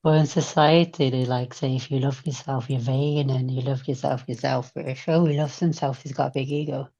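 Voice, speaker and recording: The voice is creaky, with a steady fry running through it. It speaks in a candid, reflective, conversational way, as in a casual chat. The recording is slightly dull and lo-fi. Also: mid-range voice, normal speed. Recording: dull, lo-fi sound.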